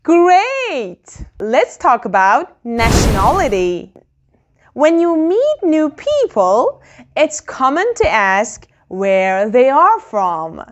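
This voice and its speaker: A woman teaching a beginner English lesson, modelling phrases very slowly and clearly for learners to repeat. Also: high voice, huge pitch swings.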